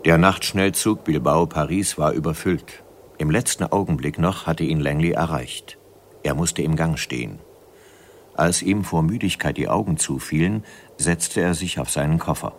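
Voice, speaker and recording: Radio play storyteller, extremely deep voice, sombre, scripted narration, slight background ambience. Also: male voice, normal speed, a little hiss or hum. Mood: measured, sombre. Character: sombre male storyteller of a suspense tale.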